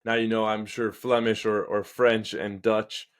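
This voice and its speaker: A man hosting a podcast, asking rambling, relaxed interview questions. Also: on the low side, a little slow. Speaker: podcast host.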